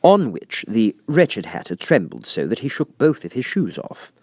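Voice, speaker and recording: A man's voice: medium pitch, sing-song, normal speed, darkish tone, husky, no room sound, clean studio sound. A man reading a children's story aloud as scripted audiobook narration.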